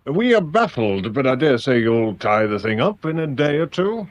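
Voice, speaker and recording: A man's voice: mid-range voice, very animated, normal speed, highs cut off, natural voice. A man acting a touchy, sardonic official in a radio drama, pompous and bitingly sarcastic.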